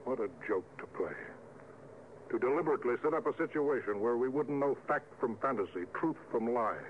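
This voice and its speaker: An actor playing an authoritative, suspicious starship captain in a mid-century radio drama. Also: male voice, medium pitch, animated, brisk, lightly acted. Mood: grim, brooding. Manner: clipped, authoritative orders. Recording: noisy vintage radio, thin narrow band.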